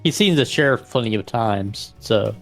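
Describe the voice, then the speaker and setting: Halting man at a roleplaying table. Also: medium pitch, animated, fairly bright tone, faint music behind. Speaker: player in a tabletop vampire role-playing game. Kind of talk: casual roleplay, narrating his character's actions.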